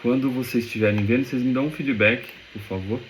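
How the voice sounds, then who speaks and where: Male business owner interviewee, measured and polite, video call, light background noise. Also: mid-range voice, steady tone, low-quality recording. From Goiânia, Brazil. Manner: polite, measured, repeats words.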